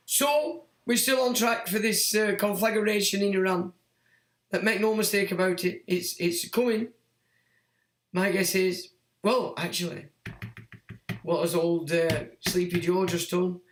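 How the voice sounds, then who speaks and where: A hoarse man, croaky from being sick, hosting a rambling, sardonic solo livestream monologue. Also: high voice, a bit bright. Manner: rambling, sardonic, talks to himself with fillers. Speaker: livestream host. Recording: echoey room.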